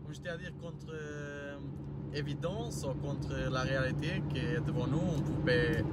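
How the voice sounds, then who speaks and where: A man who is a native Portuguese speaker, with a Portuguese accent, talking off the cuff in a halting way as he explains things, searching for words in his second language. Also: a little high, steady tone. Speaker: crypto vlogger. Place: inside a car on the motorway. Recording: loud road noise inside moving car.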